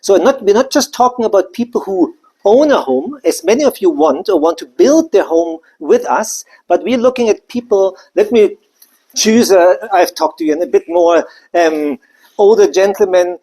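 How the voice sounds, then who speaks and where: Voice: medium pitch, big pitch swings, normal speed, dark tone, slightly rough, rough recording. Company presenter. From Germany. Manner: loose, conversational, with many side remarks. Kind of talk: live business presentation.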